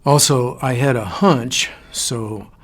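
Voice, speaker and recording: Husky, measured agency director. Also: male voice, medium pitch. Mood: measured, supportive. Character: measured male agency director, firm but supportive boss. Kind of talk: audio fiction drama acting.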